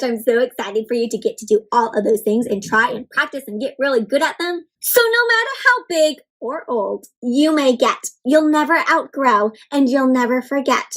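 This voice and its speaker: A young woman with a high voice reads a picture book aloud to children and talks with them as she goes. Her delivery is animated and encouraging, and she speaks directly to her young listeners. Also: normal speed, few pauses, low-quality recording. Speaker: children's storybook reader and host. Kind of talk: interactive read-aloud for kids.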